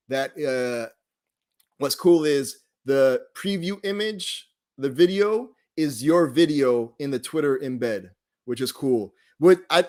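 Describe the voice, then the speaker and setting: Laid-back man with a higher-pitched voice. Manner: rambling, laid-back, often trailing off mid-thought. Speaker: host of a live online study group. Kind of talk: casual, off-the-cuff livestream talk.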